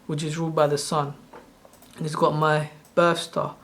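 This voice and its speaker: A soft-spoken man in his thirties, originally from Sri Lanka, casually walking through his own screen in a loose, rambling way. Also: a little high, monotone, moderate pace. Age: 30s. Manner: rambling, loose and self-correcting. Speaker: channel host. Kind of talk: casual screen-share walkthrough.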